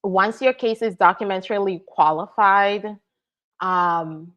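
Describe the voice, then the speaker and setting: A woman from Nigeria who works as an immigration attorney, answering viewer questions live at a middle pitch. She explains things step by step and checks in often with "right?". The sound is a little dull and muffled. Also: unhurried. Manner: explanatory, frequent "right?" and "all right?" check-ins.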